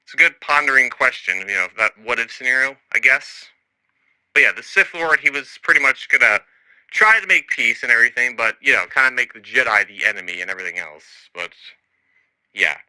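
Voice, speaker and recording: A man's voice: medium pitch, a little fast, bright, forceful tone, dry recording, low-quality recording. A man recording an improvised audio log as a storyteller, rambling and hesitant, often trailing off and losing his thread. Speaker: storyteller and narrator.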